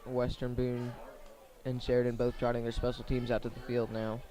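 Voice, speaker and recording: A man doing live radio play-by-play of a high school football game in short, plain, measured phrases. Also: completely flat delivery, mellow. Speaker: play-by-play sports commentator.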